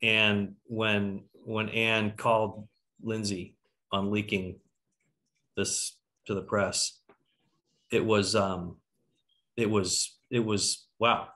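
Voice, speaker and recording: A man's voice: fairly low pitch, little pitch movement, very slow, pauses often, bright, forceful tone. A middle-aged man hosting a casual panel on a video call, musing aloud as he works toward his questions. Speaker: talkback host. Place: indoor room on a video call. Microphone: video call computer microphone.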